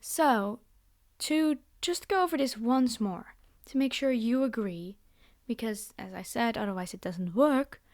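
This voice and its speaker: A woman's voice: a little high, expressive, a little slow, darkish tone. A woman playing a commanding vampire girl in an ASMR roleplay, speaking directly to her human captive with condescending, teasing reassurance. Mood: formal, businesslike. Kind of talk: scripted ASMR roleplay monologue.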